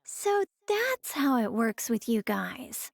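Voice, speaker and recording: Sweet polite anime girl-next-door young woman, high voice, inquisitive, gentle, lilting widely, game acting. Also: big pitch swings, slow, gravelly, no room sound, clean studio sound, exaggerated voice. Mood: curious.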